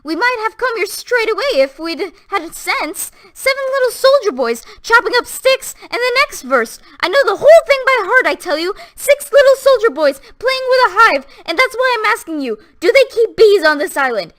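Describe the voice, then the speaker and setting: A woman voicing a young woman gone hysterical, speaking in a high, shrill voice. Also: sing-song, moderate pace, few pauses, a bit bright, exaggerated voice.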